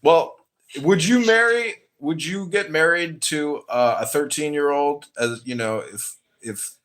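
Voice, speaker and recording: A man's voice: fairly high pitch, unhurried, a bit bright. An American man hosting a podcast, asking provocative, mock-naive questions with sarcasm and swearing. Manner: feigns ignorance, sarcastic, swears. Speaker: podcast host.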